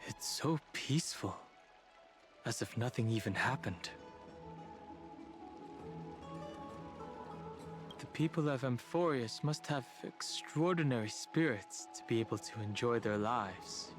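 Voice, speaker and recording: A man plays a composed young traveling companion in a video game. He is thoughtful and analytical, speaking in a measured mid-range male voice. Music is clearly audible behind him. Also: medium pitch, lightly acted. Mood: calm. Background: background music.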